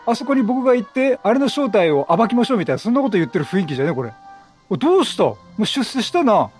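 A man's voice: sits high, very animated, fast, darkish tone, faint music behind. A man doing casual, chatty game commentary, teasing and talking back to the characters. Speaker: video game streamer.

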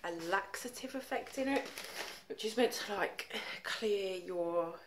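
A woman's voice: medium pitch, unhurried, background noise. A young woman with a cold, chatting casually to her phone camera in her living room, rambling with lots of ums and likes. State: congested with a cold. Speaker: makeup vlogger. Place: home living room, indoors. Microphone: phone held at arm's length.

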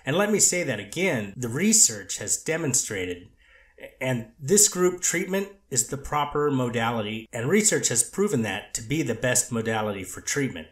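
A man's voice: medium pitch, sing-song, normal speed, roomy sound, close to an everyday voice. A man reading the part of a treatment counselor testifying in court, answering carefully with hesitant restarts. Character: male treatment counselor witness, hedging and careful. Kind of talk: readers theater courtroom reading.

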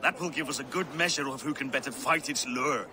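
An actor playing a boastful, jovial Viking-age drinker in a video game, with a hearty, challenging manner. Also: male voice, mid-range voice, brisk, pushed and bright. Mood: jovial bravado. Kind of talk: video game dialogue acting.

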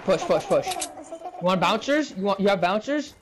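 A man's voice: high-pitched, big pitch swings, normal speed, crowd noise. A teenage male gamer chatting casually with teammates in slangy, trash-talking bursts. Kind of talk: casual team game chat. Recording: noisy game voice chat with clicks.

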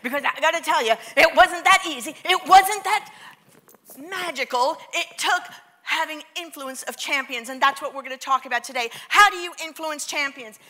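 New york lady doing a pumped-up keynote on a big stage, high and bright, gets the crowd chanting back. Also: moderate pace. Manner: energetic, audience call-and-response. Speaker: keynote speaker. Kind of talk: motivational stage talk. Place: large theater stage.

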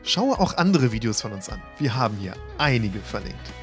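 A man reading a scripted voice-over for an event report in a lively, upbeat way, with a slightly husky voice. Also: fairly low pitch, normal speed. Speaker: channel host narrating event report. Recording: faint music bed behind voice.

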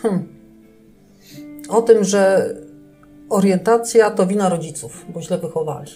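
Female podcast co-host, warm mellow tone, halting delivery, light music beneath. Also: mid-range voice. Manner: hesitant, many fillers and restarts. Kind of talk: casual chat.

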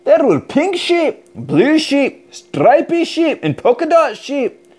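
A man with a Scottish accent narrating a children's picture book in an energetic, expressive way. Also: extremely high pitch, takes it slowly. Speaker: storybook narrator. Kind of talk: animated children's read-aloud.

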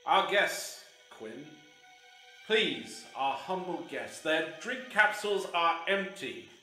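A man's voice: fairly high pitch, big pitch swings, takes it slowly, exaggerated voice. A man playing a sinister scientist villain in an audio drama, speaking in a grandly formal, menacing, condescending way. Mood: cool menace. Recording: echoey, loud music bed.

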